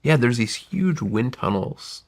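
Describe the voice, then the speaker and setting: A man's voice: medium pitch, sing-song, unhurried, creaky. A man in his forties whose first language was Gujarati, telling his life story as a podcast guest in a reflective, winding way that often corrects itself. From United States. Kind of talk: casual interview storytelling.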